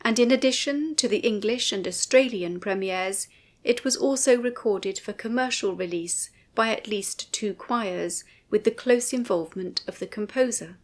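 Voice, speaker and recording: A woman reads a prepared commentary as narrator. Her voice sits at a medium pitch for a woman. The delivery is formal and measured, with carefully shaped phrases. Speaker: writer and narrator of a music commentary. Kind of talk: scripted narration.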